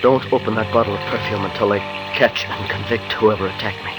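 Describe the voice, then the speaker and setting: An actor playing a wounded, dying gentleman in a vintage radio drama, gentle, courtly and weakly halting. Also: fairly low pitch, steady tone, close to an everyday voice, poor audio. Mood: weak, solemn. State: weak, faltering from injury, as acted. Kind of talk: 1940s radio acting. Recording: vintage radio drama, loud music behind.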